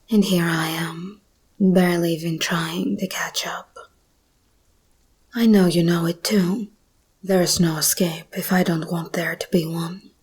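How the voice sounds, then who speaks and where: Breathy, slow-whispering predatory monster girl, played by a woman. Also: fairly low pitch, a little mellow, full character voice. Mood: confident, menacing. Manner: slow, teasing, predatory purr. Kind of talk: ASMR roleplay, intimate monologue.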